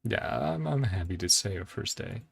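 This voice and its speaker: A man giving casual reaction commentary as a video host, halting as he thinks aloud. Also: deep voice, animated, gravelly, husky. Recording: faint music behind the voice. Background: faint music.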